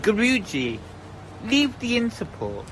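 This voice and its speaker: A woman's voice: on the high side, huge pitch swings, slow pace, background noise, heavily acted. A woman playing a childlike, dreamy, rambling eccentric in an audio drama.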